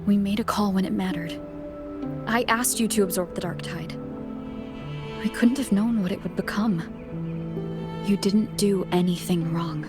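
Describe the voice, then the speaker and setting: Woman as a calm, resolute game heroine. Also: medium pitch, measured pace, long pauses, music in the background, lightly acted. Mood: reassuring. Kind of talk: video game voice acting.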